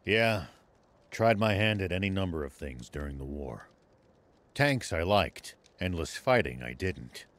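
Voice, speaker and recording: A man playing a steady, gruff old war veteran in a video game. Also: deep voice, a little slow, exaggerated voice. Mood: grave, reflective. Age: older.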